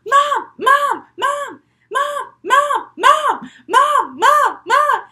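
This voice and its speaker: A woman voicing an excitable, anxious girl in a spooky story, calling out shrilly and repetitively. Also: drawn-out words, exaggerated voice. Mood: insistent yelling. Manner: shrill, repetitive, pleading calls.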